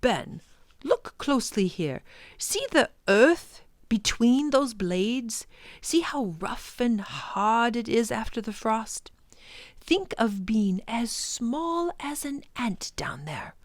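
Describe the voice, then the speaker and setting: A woman voicing an earnest, enthusiastic male professor in a children's storybook, urging listeners on with dramatic emphasis and hushed words. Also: on the high side, lively intonation, slow, dry recording, studio quality. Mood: enthusiastic, wondering. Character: older male professor, passionate, earnest, wonder-filled teacher. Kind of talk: children's storybook read-aloud.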